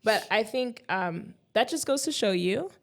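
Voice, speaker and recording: Chatty woman with a gravelly edge. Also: medium pitch, measured pace. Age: 30s. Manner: chatty and animated, breaks into quoted inner voices, laughs often. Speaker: podcast guest, café founder and life coach. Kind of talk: casual interview chat.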